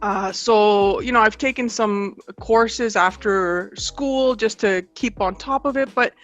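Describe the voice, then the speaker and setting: A Canadian woman with a slight Greek accent, a guest in a casual interview, earnest and reflective and quick to laugh. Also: mid-range voice, highs cut off, faint music behind.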